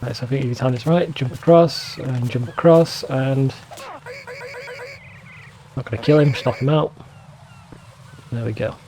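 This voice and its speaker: Mellow man doing casual game commentary. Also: medium pitch, moderate pace, long pauses, slight background noise, low-quality recording. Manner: sweary, wry asides. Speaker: let's play host.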